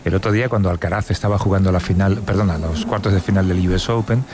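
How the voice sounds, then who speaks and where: Low-voiced man telling stories calmly. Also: fast. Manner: relaxed, explaining with hesitations. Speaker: co-host. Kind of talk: casual chat.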